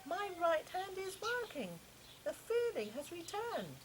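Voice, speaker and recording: An older woman voicing an overjoyed, excitable father in a storybook, exclaiming loudly in astonishment. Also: very high-pitched, measured pace, pitched above the performer's own voice, exaggerated voice. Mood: amazed, exultant. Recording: noisy home-style recording, constant background noise.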